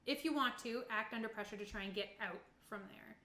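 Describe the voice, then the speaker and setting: A woman's voice: on the high side, brisk, pushed and bright. A woman running a tabletop roleplaying game as game master, narrating casually and encouraging the players. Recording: roomy echo, faint background noise.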